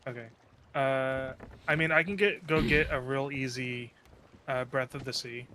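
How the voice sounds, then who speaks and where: A man's voice: mid-range voice, steady tone, unhurried, bright, forceful tone, a little hiss or hum. A man in casual gaming chat, explaining details to his friends in an informal way.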